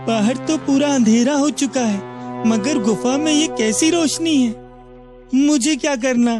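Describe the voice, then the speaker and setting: A man voicing a simple, naive young village goatherd in an animated moral story, innocent and full of wonder. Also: very high-pitched, unhurried, music in the background. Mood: puzzled wonder.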